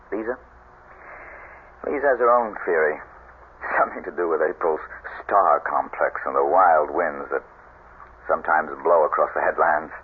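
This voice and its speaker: An actor playing a brooding, bitter husband and faded star in a 1970s radio drama, speaking in measured, halting, dramatic phrases. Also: male voice, rumbling low. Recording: muffled, noisy vintage radio recording.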